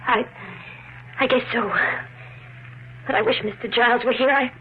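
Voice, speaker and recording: An actress playing a frightened, jumpy young housemaid, defensive and timid, in 1950s radio mystery style. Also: female voice, a little high, fairly even intonation, normal speed, narrow, tinny audio, natural voice. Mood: timid, fearful. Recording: very thin, noisy old radio recording.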